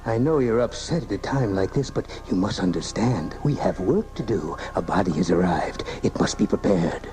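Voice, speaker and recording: An actor playing a flustered, officious mortician in a live radio drama, formal, fussy and exasperated. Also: male voice, low-pitched, expressive, moderate pace, a little mellow. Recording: home-taped 1980s radio broadcast, music behind.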